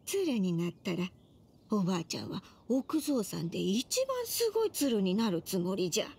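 A woman's voice: medium pitch, big pitch swings, normal speed, creaky, a little mellow, breathy edge, heavily acted. A woman voicing a tender, doting elderly grandmother in a video game, with folksy, soothing old-person speech. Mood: gentle, resolute. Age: older. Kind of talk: Japanese video game voice acting.

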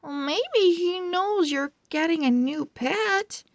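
A woman's voice: very high-pitched, animated, slow pace, dry recording, studio quality, full character voice. A woman voicing a frank young owl friend from a storybook, with emphatic delivery. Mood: concerned.

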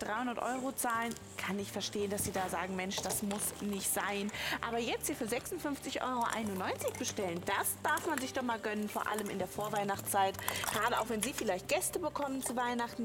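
A woman in her thirties giving a chatty, emphatic, persuasive sales pitch to television viewers. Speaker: TV shopping host. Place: TV shopping studio set, indoors.

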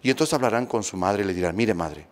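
A Mexican man in his fifties, a priest, giving a homily in a steady, instructive way, repeating phrases for emphasis, into a close handheld microphone. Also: lowish voice, fast. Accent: Mexican Spanish. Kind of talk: sermon on family healing. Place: small church room with statues, indoors. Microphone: handheld mic close to mouth.

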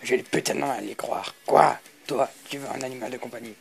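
A man voicing grumbling, theatrical skeleton brothers in an amateur comic dub, with a gravelly voice. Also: mid-range voice, a little fast, over music. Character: pompous skeleton boss and his weary, sarcastic brother.

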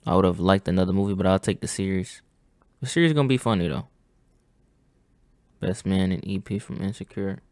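A young man hosting a casual reaction video at home, talking excitedly in slang and saying bro a lot, on a headset mic. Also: low-pitched, a little fast, pauses often, dry recording, clean studio sound. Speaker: reaction channel host. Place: home gaming setup, indoors.

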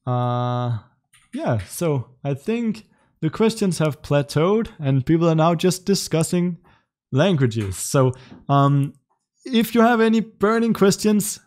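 A young man in his twenties from Denmark, a native Danish speaker, casually answering chat questions on a livestream from his room, relaxed and chatty with light laughs. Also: a little high, slow. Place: indoor home room in front of a webcam.

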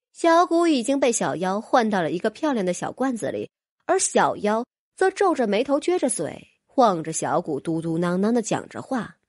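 A woman's voice: mid-range voice, a little mellow, dry recording, studio quality. A woman narrating fantasy fiction as an audiobook reader, in a scripted, dramatic storytelling style.

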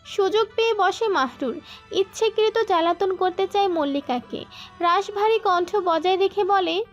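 A woman narrates a scripted romance in a very high, girlish-pitched voice. The sound is slightly dull and lo-fi, with soft music playing underneath. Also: normal speed. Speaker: story narrator.

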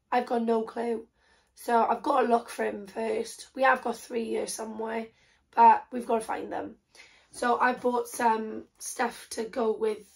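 A young woman with a hoarse, croaky voice from a sore throat, chatting casually through a haul video in a small bedroom, rambling with lots of ums and self-corrections. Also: a little high, poor audio. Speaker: vlogger.

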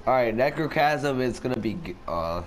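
A man's voice: mid-range voice, lively intonation, normal speed, slight background noise. A young man with a sick, cracking voice, giving laid-back commentary while playing a game. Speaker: gaming channel host. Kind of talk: casual gameplay commentary.

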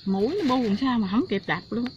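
A woman's voice: a little high, quick pace. A middle-aged woman with a southern Vietnamese accent, chatting casually outdoors. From Ben Tre, southern Vietnam. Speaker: mushroom picker, mother of family. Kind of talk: casual chat. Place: outdoors by a creek in a coconut grove. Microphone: off-camera, picked up by filmer's device. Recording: dull outdoor recording, loud insect noise.

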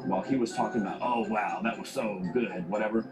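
A man with a very deep voice explains his game plan in a reality TV confessional. He talks matter-of-factly and schemingly. The sound is roomy, replayed from TV with loud music behind. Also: quick pace. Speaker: reality show contestant. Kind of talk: confessional interview.

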